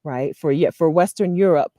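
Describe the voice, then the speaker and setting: A woman in her thirties from the US East Coast, talking casually to camera with advice, chatty and animated, often laughing and checking in with "okay?". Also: sits low, moderate pace, dry recording, studio quality. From Delaware, US East Coast. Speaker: vlogger and expat community host. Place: outdoors in front of a leafy green wall.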